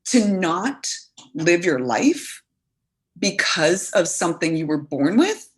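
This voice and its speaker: A woman's voice: fairly low pitch, sing-song, slow pace. A woman in her thirties, a guest coach talking earnestly and animatedly in a casual podcast conversation, full of fillers.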